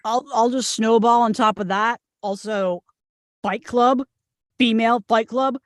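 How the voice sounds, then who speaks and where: A woman acts a crude, brash bridesmaid from a comedy script. She is blunt and emphatic, in a fairly high voice recorded close and dry. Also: steady tone, measured pace, no room sound, natural voice. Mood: aggressive enthusiasm. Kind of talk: screenplay table read.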